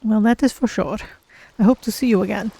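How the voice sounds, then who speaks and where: Performer as curious, polite feline heroine for fantasy game roleplay; soft mellow tone, measured formal delivery. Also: mid-range voice, gravelly, husky, rough recording. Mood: earnest, polite. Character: earnest female Khajiit cat-folk adventurer, curious, polite. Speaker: game streamer. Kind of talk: in-character game roleplay narration.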